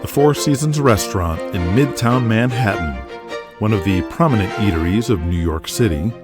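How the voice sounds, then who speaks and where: A man reading scripted dramatic narration in the present tense, setting scenes like a storyteller. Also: fairly low pitch. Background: music under the voice.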